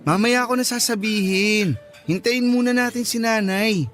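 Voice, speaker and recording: A devoted, cheeky young boyfriend in a radio drama. The voice is quite high-pitched and casual, with a playful lilt. Music runs behind it. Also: male voice, moderate pace, fairly bright tone. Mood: easygoing.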